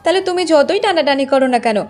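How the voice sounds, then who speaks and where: A woman's voice: sits high, animated, fast, darkish tone. A woman voicing a proud, defiant young village woman in a cartoon, answering back with firm taunts. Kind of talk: Bengali cartoon voice acting.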